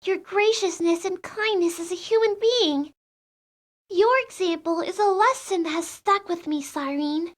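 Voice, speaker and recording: A woman's voice: very high voice, unhurried. A woman playing an earnest, affectionate, sheltered young princess with a girlish manner in a video game fandub.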